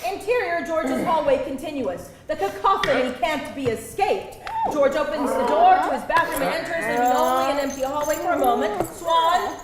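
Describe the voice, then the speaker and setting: A woman's voice: high-pitched, moderate pace, hardly any pauses, a loud crowd. A woman narrator reading screenplay stage directions with theatrical emphasis at a live table read. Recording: echoey hall with loud audience.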